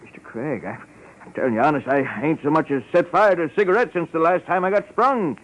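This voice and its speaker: An actor playing a nervous, aging ex-convict chestnut peddler who stammers and repeats himself in streetwise slang, in a 1950s radio drama. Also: male voice, fairly high pitch, fast talker, close to an everyday voice. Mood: nervous pleading. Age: older. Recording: noisy muffled old radio recording.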